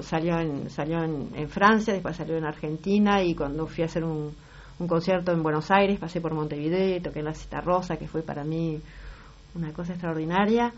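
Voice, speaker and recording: A middle-aged woman from Uruguay with a Rioplatense accent, an actress and singer, answering interview questions thoughtfully and often restarting her sentences. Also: fairly low pitch. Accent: Rioplatense Spanish. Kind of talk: casual interview talk. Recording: slightly muffled broadcast audio.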